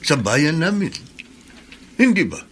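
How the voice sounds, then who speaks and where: A man's voice: a little high, very animated, slow pace, a little hiss or hum. An actor playing a humble, pleading middle-aged father, hesitant and earnest, in a Filipino radio drama.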